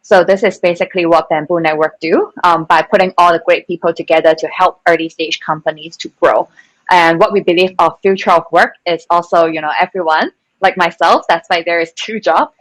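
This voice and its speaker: Chatty woman from Hong Kong, low voice. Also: steady tone, fairly quick, no room sound, low-quality recording. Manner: chatty, many fillers and restarts, laughs at herself. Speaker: panelist, venture capital co-founder. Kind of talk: casual panel talk.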